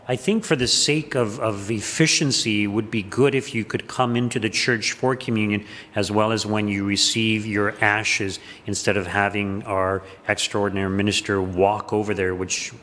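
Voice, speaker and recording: A man's voice: medium pitch, a bit bright. A middle-aged man, a parish priest, giving a plain conversational homily at Mass from the lectern, with frequent ums. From Canada. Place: church sanctuary, at the lectern. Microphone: lectern microphone. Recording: reverberant church hall.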